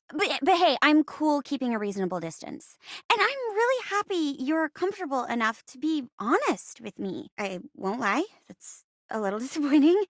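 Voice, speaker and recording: A woman's voice: high voice, a bit bright, no room sound, studio quality. A woman voicing a bashful, eager-to-please living chair in a video game, giggly and theatrical, stammering when flustered. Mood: gentle, bashful. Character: bubbly, bashful, eager-to-please living chair who loves play-acting.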